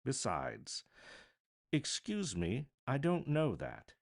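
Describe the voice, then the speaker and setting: A man's voice: medium pitch, wildly swooping pitch, slow pace, gravelly, pitched above the performer's own voice, exaggerated voice. A man voicing a cold, miserly, scornful old businessman from a storybook, with curt, contemptuous, emphatic delivery. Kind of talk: storybook character reading.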